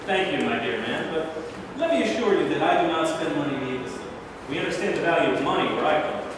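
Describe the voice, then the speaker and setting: Genial, commanding statesman in disguise, acted by a man; high, lively delivery, stage reading in a boomy hall. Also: fast talker, close to an everyday voice. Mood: genial, courteous. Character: incognito American president, genial, talkative, commanding older man. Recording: echoey hall from far off, noisy.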